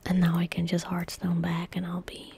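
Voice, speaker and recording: A young woman whispering gently and breathily in a soft-spoken ASMR style as she comments on a game she plays. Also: lowish voice, monotone. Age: young adult. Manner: gentle, unhurried, murmured asides to self. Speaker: ASMR gaming streamer. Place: indoor room at a computer. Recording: faint background noise, game ambience. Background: soft game ambience, faint noise.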